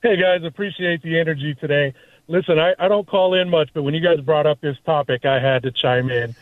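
A man's voice: on the high side, fairly quick, no room sound. Male caller on a thin phone line. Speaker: radio show caller. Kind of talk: casual call-in chat.

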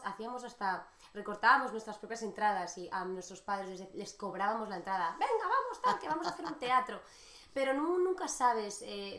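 A woman with an accent from Spain, a singer-songwriter guest, chatting in an animated, talkative way. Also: a little high. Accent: Spanish from Spain. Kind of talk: casual interview chat. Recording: echoey room.

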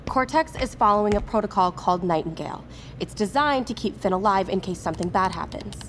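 A woman's voice: medium pitch, lively intonation, fast, slight background noise, close to an everyday voice. A woman playing a driven, commanding facility insider under pressure in an audio drama. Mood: tense, controlled. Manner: intense, assertive.

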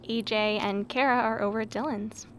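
A woman's voice: on the high side, fairly even intonation, moderate pace, fairly bright tone, a little hiss or hum, natural voice. An actress playing a confident, playful teenage girl who teases casually in an audio drama. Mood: playful, relaxed. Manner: playful, teasing.

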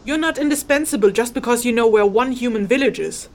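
A woman's voice: a little high, quick pace, a little mellow, natural voice. A woman playing a stern, sardonic charm crafter in a fantasy audio drama, giving orders and threats with dry sarcasm. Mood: threatening.

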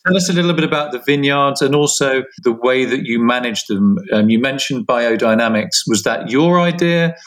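A man's voice: mid-range voice, normal speed, few pauses, a bit bright. A man hosts an interview podcast with a mid-range voice. He asks questions in an easygoing way, with small laughs between them. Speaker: podcast host.

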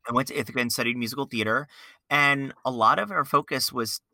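A man's voice: mid-range voice, steady tone, fast, sharp tone, no echo, studio quality. A young adult man hosting a podcast, reflective and hesitant, with many fillers. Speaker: podcast host. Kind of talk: casual interview chat.

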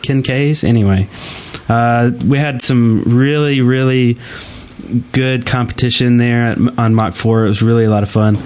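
Give a man co-hosting a casual gaming podcast. His voice sits at an ordinary middle pitch, and he talks in an informal, conversational way, like someone among friends. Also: fairly even intonation, normal speed, a little mellow. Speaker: podcast host.

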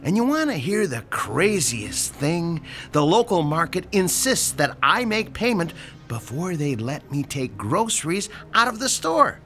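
A man's voice: a little high, sing-song, a little slow, faint music behind, heavily acted. An actor playing a pushy, sarcastic older landlord in a radio drama, lecturing his tenant about rent. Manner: sarcastic, lecturing, theatrical swings from shouting to hushed.

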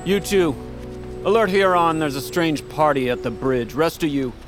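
A man's voice: a little high, expressive, normal speed, music in the background, close to an everyday voice. An actor playing a suspicious, brusque male guard captain, curt and commanding, in an audio drama. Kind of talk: dramatic audio fiction dialogue.

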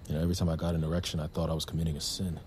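An actor playing an earnest, awkward young army veteran in an audio drama, halting and confessional as he talks about buried shame and desire. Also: extremely low pitch, level tone, a little mellow, slight background noise. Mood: hesitant, confiding. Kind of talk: naturalistic audio drama acting.

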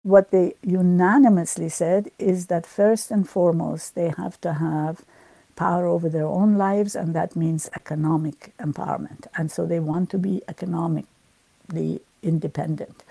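An older woman from Iran speaks as an interview guest. Her voice is on the low side with a gravelly fry and a soft, dark tone. She answers reflectively, halting and choosing words with care, in a dry, close recording. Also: steady tone, no room sound. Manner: halting, deliberate. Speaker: interview guest, former government minister.

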